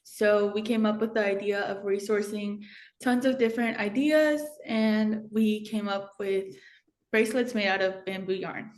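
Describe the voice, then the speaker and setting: A woman's voice: mid-range voice, moderate pace. A teenage girl, a student company CEO, explaining her product in an interview, careful and a little hesitant. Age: teens. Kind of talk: interview answers.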